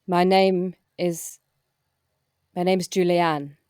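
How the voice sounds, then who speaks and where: A woman's voice: lowish voice, steady tone, very slow, darkish tone. A woman playing a timid, deferential hospital porter with a shady past in a comic audio drama, stammering politely. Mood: confessional, dramatic.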